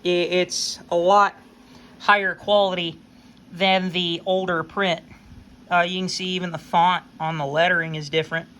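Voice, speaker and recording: A man's voice: high voice, flat delivery, moderate pace, pushed and bright. An American man giving a casual, unscripted explanation as a hobbyist video host, in a folksy, plain-spoken way.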